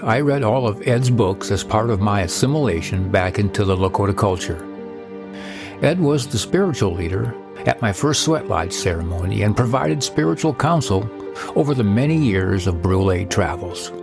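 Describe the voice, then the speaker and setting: A man hosting a podcast, giving a measured, solemn scripted narration. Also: fairly low pitch, creaky. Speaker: podcast host. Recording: narration over music.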